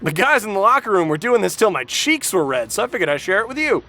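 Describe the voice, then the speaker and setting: A man's voice: sits high, sing-song, quick pace, pushed and bright, heavily acted. A man voicing an oblivious, cheerful teenage anime boy with a breezy, jokey, clueless manner, as in a cartoon parody. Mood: cheerful, casual.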